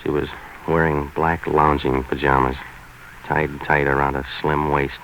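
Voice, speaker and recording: An actor playing a hardboiled, sardonic waterfront troubleshooter who narrates his own 1940s radio noir in terse, deadpan wisecracks. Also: very deep voice, a little slow, full character voice. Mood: brooding narration. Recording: noisy 1940s radio recording.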